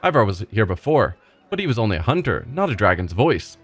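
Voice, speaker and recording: A man's voice: on the low side, big pitch swings, a little fast, husky, heavily acted. A man voicing a cheerful, simple-minded male village fisherman who rambles and repeats himself proudly. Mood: earnest. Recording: faint game music behind.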